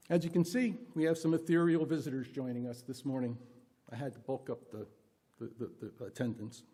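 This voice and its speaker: A service leader with a male-sounding voice giving an earnest, solemn welcome address to a congregation in deliberate phrases. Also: mid-range voice, warm tone. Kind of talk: prepared sermon-like welcome address. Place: church service, speaking to congregation.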